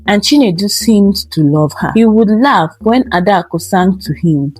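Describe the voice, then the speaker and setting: A woman's voice: medium pitch, lively intonation, moderate pace, a little mellow, husky, soft background music. A woman narrating a dramatic scripted folktale as a storyteller.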